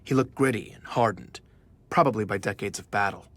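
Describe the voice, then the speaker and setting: A man's voice: fairly low pitch, brisk, a bit bright, no echo. An actor playing an earnest, easily awed male journalist who narrates his story in a scripted audio drama. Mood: relieved, awed.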